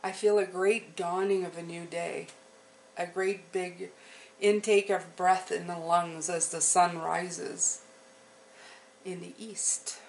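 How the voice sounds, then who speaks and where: A woman with a somewhat low voice gives an informal spiritual card reading. She talks directly to the viewer in a reassuring way, checking in as she goes. There is noticeable background noise on the recording. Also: level tone, slow pace, gravelly, darkish tone, poor audio. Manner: reassuring, often checks in with listener. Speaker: tarot reader hosting a channel. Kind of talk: intuitive tarot reading, unscripted spiritual talk to viewers.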